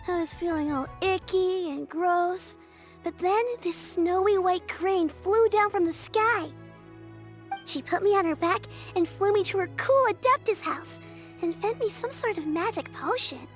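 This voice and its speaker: A cheerful, earnest young girl who chatters eagerly in a very high voice. Faint music plays underneath. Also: a little slow. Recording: game audio with faint music.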